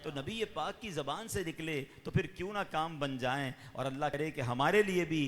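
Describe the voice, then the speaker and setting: A man preaching a sermon, fervent, with raised peaks and slow drawn-out chanting. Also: a little high, fairly bright tone. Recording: long hall reverb.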